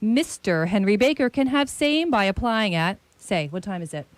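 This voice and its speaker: A woman playing a shrewd, commanding detective in a radio play, with grandiloquent, deliberate phrasing. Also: medium pitch, expressive, no room sound, lightly acted. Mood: brisk, self-satisfied. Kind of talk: radio theatre acting.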